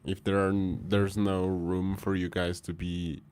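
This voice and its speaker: Low-voiced man, brief and low-key. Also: level tone, unhurried, darkish tone, clean tone. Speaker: co-host reading scenarios. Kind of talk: casual panel chat.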